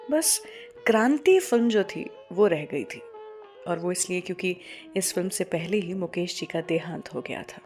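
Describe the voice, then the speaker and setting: A woman with an Indian accent hosts a radio show in a conversational, storytelling manner. Her voice sits at an average pitch and she slips English words into her talk. Music plays behind her. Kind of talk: radio show presenting with film trivia. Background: background music.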